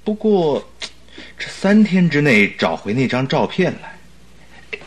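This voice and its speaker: An actor playing an eager, talkative doctor and detective's sidekick in a radio drama, exclaiming theatrically. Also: male voice, a little high, very animated, slow, slightly rough, heavily acted. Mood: earnest. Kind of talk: Mandarin radio drama acting.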